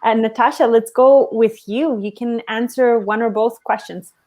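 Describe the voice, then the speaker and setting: A woman's voice: on the high side, fairly even intonation, moderate pace. Encouraging woman moderating a panel. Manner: warm, encouraging, affirming.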